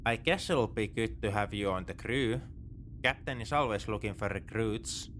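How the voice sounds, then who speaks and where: A man playing a begrudgingly soft-hearted space pirate captor in a sci-fi audio roleplay, speaking to the listener in an exasperated, dismissive, teasing way. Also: fairly low pitch, normal speed, a little hiss or hum, close to an everyday voice. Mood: wry, practical. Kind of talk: sci-fi ASMR roleplay acting, addressing the listener.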